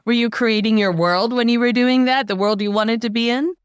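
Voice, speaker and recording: A woman's voice: fairly high pitch, a little fast, clean tone, no echo, clean studio sound. A woman hosting a podcast, delivering a scripted, earnest introduction with emphatic phrasing and then asking interview questions. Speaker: podcast host.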